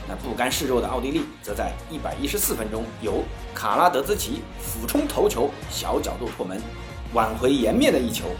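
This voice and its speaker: Man, sports commentary, scripted recap, expressive intonation, echoey room, music underneath. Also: medium pitch. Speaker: football show host.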